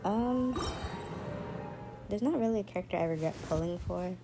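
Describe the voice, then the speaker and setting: A woman's voice: medium pitch, normal speed. A woman streaming a game, chatting casually as she plays. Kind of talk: casual gameplay chat. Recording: loud game music behind voice. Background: loud game music and sound effects.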